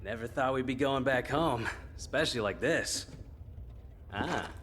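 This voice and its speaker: An actor playing an easygoing, empathetic comrade in a conversational video game cutscene. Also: male voice, medium pitch, lively intonation, a little slow, fairly bright tone, slight background noise. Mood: reassuring. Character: easygoing, empathetic male comrade heading home.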